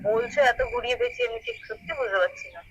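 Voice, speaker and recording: A woman's voice: fairly high pitch, very animated, racing, a little hiss or hum. A young woman answering a phone call in casual conversation. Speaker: prank call recipient.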